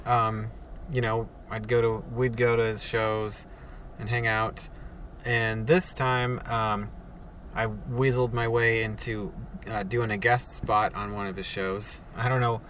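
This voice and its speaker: A low-voiced man talks in a flat monotone, halting often with ums and restarts as he rambles through a solo podcast from his parked car. His voice sits a little back from the mic, with a faint hum of noise behind him. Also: far from the mic. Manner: hesitant, halting, many fillers and restarts. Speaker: podcast host. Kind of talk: casual solo podcast monologue. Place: sitting alone in a parked car.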